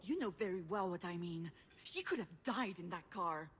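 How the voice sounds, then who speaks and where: A woman's voice: on the low side, expressive, moderate pace, slight background noise, lightly acted. An actress playing a headstrong, combative and loyal adult woman, speaking bluntly and insistently in a naturalistic video game drama. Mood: angry, accusing.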